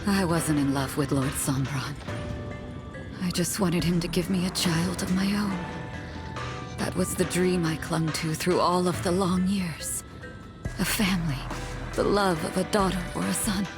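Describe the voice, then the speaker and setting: An actress playing an elegant, regretful motherly villainess in a game cutscene, weakened and dying, speaking in a measured, wistful way. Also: on the low side, fairly even intonation, natural voice. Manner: slow, wistful, measured delivery. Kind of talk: dramatic game cutscene acting. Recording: voice over loud background music.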